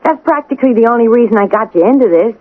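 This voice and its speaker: A woman's voice: a little high, expressive, racing. An actress playing a tough, impatient, streetwise woman bossing her man around in a radio crime drama. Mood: coaxing, firm. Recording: tinny, hissy old radio broadcast.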